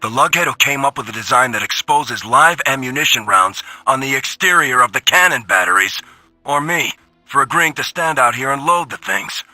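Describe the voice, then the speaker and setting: An actor playing a grumbling, sardonic robot soldier in a video game log, with a gruff, complaining way of talking. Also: male voice, medium pitch, lively intonation, normal speed, sharp tone, no room sound, rough recording. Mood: disgruntled. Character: grumbling, sardonic male robot soldier giving war reports.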